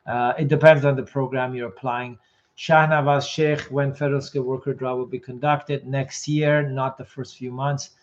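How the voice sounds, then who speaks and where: A hoarse man runs a live question session, reading out viewer comments and answering each one quickly and plainly. His voice sits at an ordinary middle pitch. The sound is dull and lo-fi, like a streamed call. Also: little pitch movement. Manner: quick, matter-of-fact answers, reads comments aloud. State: losing his voice. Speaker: immigration consultant host.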